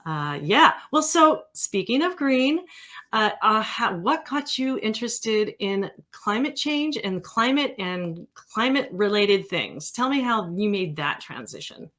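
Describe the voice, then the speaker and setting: Female interview host, mid-pitched voice, casual and playful, chatty delivery. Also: very animated, unhurried, pushed and bright. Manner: informal, playful. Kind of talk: casual chat.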